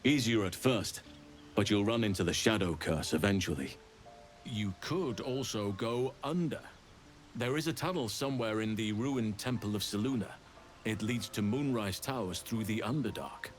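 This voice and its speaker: A man playing a wise, solemn archdruid in a video game, speaking in a measured, formal and regretful way. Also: lowish voice, faint music behind, close to an everyday voice. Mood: grave, explaining. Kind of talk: video game dramatic dialogue.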